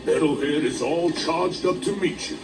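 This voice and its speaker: A man's voice: fairly high pitch, normal speed, distant mic, natural voice. A man voicing an old male dragon elder in a video game, giving advice in a theatrical way. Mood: advising. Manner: theatrical, advising. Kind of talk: video game cutscene acting. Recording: music behind, from off mic.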